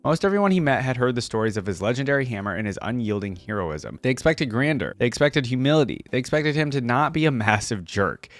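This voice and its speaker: A man's voice: medium pitch, sing-song, fast talker, few pauses, no echo. A man hosting a reaction video, reading a story aloud expressively and adding casual, informal commentary.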